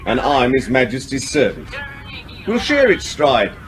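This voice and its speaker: A man's voice: mid-range voice, wildly swooping pitch, measured pace, over music, rough recording. Dry, sardonic man aboard a submarine. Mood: wry, dry. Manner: dry, wry. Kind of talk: radio drama acting.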